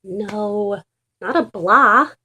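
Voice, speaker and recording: A woman voicing an eager, playful male pet dog in a children's storybook, quick to get exasperated. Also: medium pitch, sharp tone, slightly rough, full character voice. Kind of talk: animated children's storybook reading.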